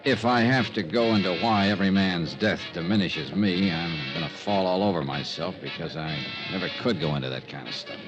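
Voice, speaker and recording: An actor playing a world-weary, terse insurance investigator in a 1950s radio detective drama, with a clipped, dry, brooding delivery. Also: male voice, sits low, fairly quick, hardly any pauses, lo-fi sound, lightly acted. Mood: brooding, pained. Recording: vintage radio recording with music.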